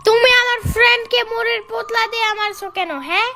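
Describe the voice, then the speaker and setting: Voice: very high voice, steady tone, fast talker. A performer voicing a small, bold, assertive schoolchild in a children's cartoon, with music under the voice. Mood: defiant.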